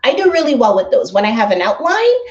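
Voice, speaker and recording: A woman's voice: fairly high pitch, sing-song, fast talker, lo-fi sound. A woman in her thirties, a bit stuffy from allergies, hosting a casual weekly live stream from home and chatting upbeat with viewers, laughing often. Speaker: host of a travel company's weekly live stream. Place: indoors at home. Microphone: laptop microphone.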